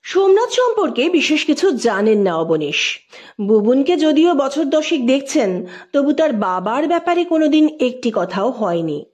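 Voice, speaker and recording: A woman's voice: sits high, very animated, moderate pace, a little mellow. A woman narrating an audio story as its host, in a scripted, dramatic storytelling style.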